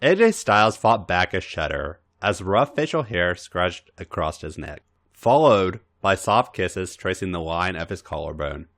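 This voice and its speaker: A man's voice: low-pitched, lively intonation, measured pace, fairly bright tone. A man hosting a podcast and reading scripted erotic fan fiction as narrator. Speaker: podcast host and story reader.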